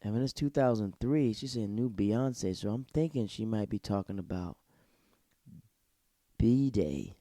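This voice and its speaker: Rambling young man with a dark voice. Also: on the low side, lively intonation, measured pace, no echo, clean studio sound. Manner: rambling, digressive, slangy. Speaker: solo podcast host. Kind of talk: casual TV episode review.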